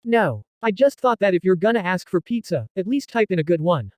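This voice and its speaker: A man playing an eager, carefree teenage boy in a visual novel, talking in animated slang. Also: high-pitched, brisk, no echo, clean studio sound. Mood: pushy, casual.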